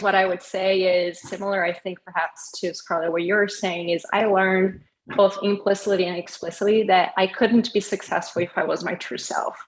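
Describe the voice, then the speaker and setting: Brazil-born lady on a panel, earnest, little laughs here and there, lowish voice. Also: low-quality recording. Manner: earnest, reflective, laughs lightly between phrases. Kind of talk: panel discussion, personal story.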